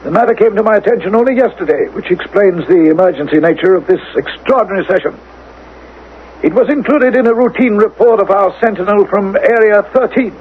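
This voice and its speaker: A man playing a grave, commanding ruler of a future world in radio drama, speaking formally and with measured authority. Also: high voice, natural voice. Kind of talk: 1980s radio drama acting. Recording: narrow-band old radio recording, faint noise.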